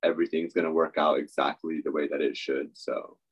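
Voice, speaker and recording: Low-voiced man, sincere and affirming. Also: flat delivery, fairly quick, husky, muffled recording.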